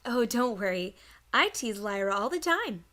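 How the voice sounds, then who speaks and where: Woman acting a high, teasing, animated mare. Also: moderate pace. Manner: coy, teasing. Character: playful, teasing, gossipy female pony. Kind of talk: audiobook character acting.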